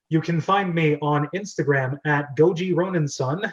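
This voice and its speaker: A man's voice: fairly high pitch, unhurried. A man hosting a casual group chat in a chatty, rambling, enthusiastic way. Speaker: host of a script-reading club. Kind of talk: casual group chat over video call. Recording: dull, lo-fi call audio.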